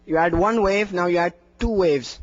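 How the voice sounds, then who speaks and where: A man's voice: high-pitched, normal speed. A man teaching how to make the call to prayer, earnest and encouraging, explaining posture and breathing and demonstrating sounds. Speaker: adhan teacher. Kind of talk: instructional lesson with slides. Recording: dull lo-fi recording.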